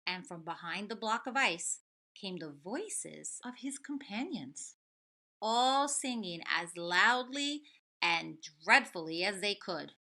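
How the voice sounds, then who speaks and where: Animated, bright-voiced woman teacher. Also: mid-range voice, slow pace, vocal fry. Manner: expressive, addressing children with questions. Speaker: elementary school teacher. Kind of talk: children's read-aloud and lesson.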